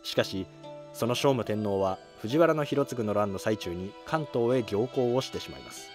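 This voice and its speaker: A man's voice: fairly low pitch, normal speed. Man narrating history over music. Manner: polite, orderly explaining.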